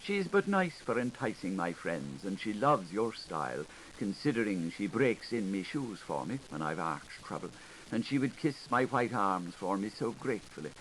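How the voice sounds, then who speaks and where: A man's voice: lowish voice, sing-song, normal speed, fairly bright tone. A man voicing a coquettish young girl, noisy tape. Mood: gossipy, sweet. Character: coquettish, gushing young Irish girl writing love letters. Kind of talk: audiobook character reading of literary fiction. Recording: hissy 1980s audiobook recording.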